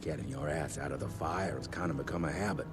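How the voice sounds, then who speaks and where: A man's voice: very deep voice, fast. An actor voicing a weathered, dependable male agent who talks in a dry, wry, laconic way. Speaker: field agent. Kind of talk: video game cutscene acting. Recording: loud noise behind the voice.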